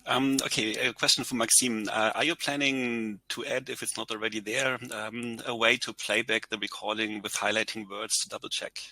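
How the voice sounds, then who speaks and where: A man with a German accent moderating a conference Q&A, reading out audience questions in a halting way. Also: lowish voice, steady tone, sharp tone, no echo, low-quality recording. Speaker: session moderator.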